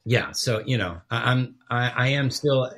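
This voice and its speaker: A man's voice: medium pitch, measured pace, a bit bright. A middle-aged man from Guatemala, a podcast guest chatting casually in a self-deprecating, rambling way with restarts. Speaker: podcast guest, theology professor. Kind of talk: casual chat.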